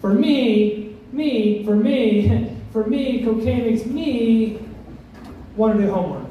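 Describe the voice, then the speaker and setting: A man's voice: extremely high pitch, takes it slowly, narrow, tinny audio. A man in his thirties doing a crude, self-deprecating stand-up set. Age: 30s. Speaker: stand-up comic. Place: comedy club stage. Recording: echoey club from far off, noisy.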